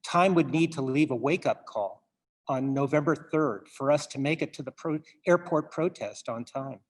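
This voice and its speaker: Higher-voiced man in a wry monologue. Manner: wry, halting, wordplay-prone. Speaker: playwright. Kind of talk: theatrical monologue addressed to the audience.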